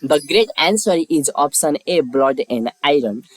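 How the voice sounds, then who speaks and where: A man hosting a quiz, reading scripted questions and lettered options in a measured, formulaic way. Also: a little high, expressive, no echo. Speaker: quiz host.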